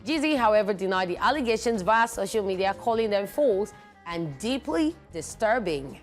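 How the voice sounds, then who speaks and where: A woman from Nigeria co-hosting an entertainment show, reading celebrity news briskly with lively emphasis. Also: medium pitch, faint music behind.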